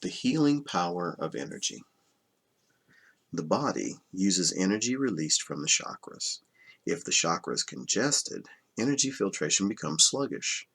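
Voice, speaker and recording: A man reading a self-help book aloud as scripted narration, in a measured, instructional manner that stresses key terms. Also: sits low, sing-song, vocal fry, fairly bright tone, breathy edge. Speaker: audiobook reader.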